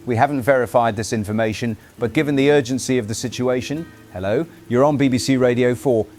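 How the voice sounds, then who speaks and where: A man playing a composed radio news presenter, with a formal, measured broadcast delivery. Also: mid-range voice, darkish tone, close to an everyday voice. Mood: urgent, controlled. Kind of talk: scripted broadcast news narration. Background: music bed.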